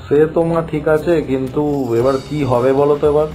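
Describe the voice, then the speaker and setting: A man's voice: a little high, steady tone, a little fast, warm tone, soft background music, natural voice, poor audio. A man playing an exasperated, fretful young newlywed son who scolds his family in a cartoon. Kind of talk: Bengali moral-story cartoon voice acting.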